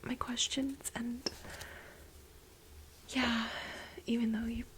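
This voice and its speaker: A woman playing an infatuated, jealous young research assistant to her boss in an ASMR role play, breathy, halting and gushing. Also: on the high side, little pitch movement, fairly bright tone, slight background noise. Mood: nervous, grateful. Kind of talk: soft-spoken whispered ASMR role play.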